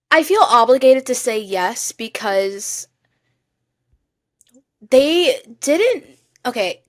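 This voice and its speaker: A woman with a medium-pitched voice chats as a podcast co-host in a dry, echo-free recording. Her delivery is informal and expressive, leaning on emphasis to make her points. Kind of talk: casual chat about TV shows.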